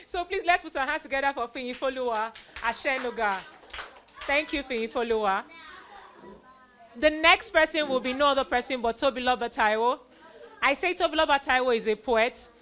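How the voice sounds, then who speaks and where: Middle aged lady hosting a kids church play, high bright forceful voice, animated, thanking performers informally. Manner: animated, addressing children and audience. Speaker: host presenting child performers of a church play. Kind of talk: informal stage vote of thanks.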